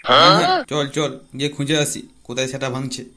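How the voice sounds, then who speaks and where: Man voicing a sharp, crude West Bengal cartoon fellow. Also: medium pitch, fairly even intonation, fast talker, lightly acted. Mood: sarcastic. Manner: slangy, crude, irritable. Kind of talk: comic cartoon dialogue.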